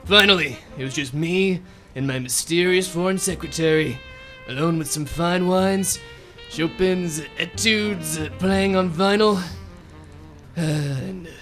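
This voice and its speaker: A man's voice: fairly high pitch, a little slow, a bit bright, soft background music. A man in a student radio drama playing a prim, formal detective who narrates and keeps sounding exasperated. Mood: narrating.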